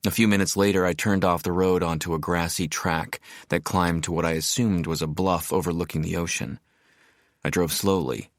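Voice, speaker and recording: A man narrating an audiobook in the first person as a reflective architect, in a measured, descriptive storytelling manner. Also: low-pitched, no echo, clean studio sound, natural voice. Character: reflective male architect narrating his arrival in a seaside town.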